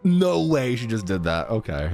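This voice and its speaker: A woman's voice: rumbling low, big pitch swings, moderate pace, mellow. A woman giving casual gameplay reactions as a streamer, slangy and playfully exasperated. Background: game music.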